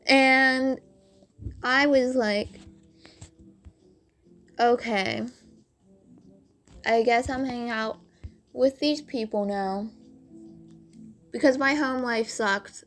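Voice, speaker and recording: A woman with a raspy voice telling a rambling storytime, full of "like" fillers, over game footage. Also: fairly high pitch, fairly bright tone. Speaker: vlogger.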